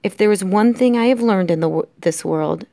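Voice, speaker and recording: A woman's voice: mid-range voice, normal speed, creaky, dark tone, no echo, studio quality, close to an everyday voice. A woman voicing a stern, despairing father mouse in a storybook, with emphatic, indignant declarations. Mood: stern indignation.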